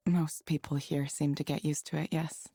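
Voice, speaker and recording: Mellow, reserved woman counselor. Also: low voice, breathy edge, dry recording, faint music behind. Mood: calm, professional. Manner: measured, even-tempered, reserved. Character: reserved, calm female counselor in a visual novel. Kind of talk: visual novel game dialogue.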